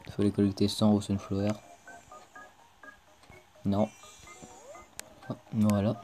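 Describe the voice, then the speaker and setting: A man's voice: lowish voice, completely flat delivery, slow pace, dark tone, faint music behind. A man hosting a casual let's play video, chatting to viewers as he plays, with a stuffed-up cold. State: congested with a cold. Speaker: gaming video host.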